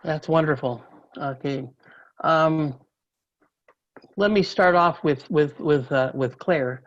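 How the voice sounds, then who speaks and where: Man running a zoom meeting, kinda muffled audio, a little high voice. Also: takes it slowly, fairly bright tone. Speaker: meeting host. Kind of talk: hosting a virtual meeting. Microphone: video call. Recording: dull lo-fi call audio.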